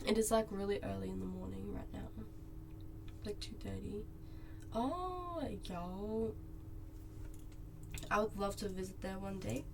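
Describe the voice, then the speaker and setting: Laid-back young Australian woman streamer, unhurried rambling chat, steady noise behind her. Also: medium pitch, creaky. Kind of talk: casual chat with live viewers while drawing.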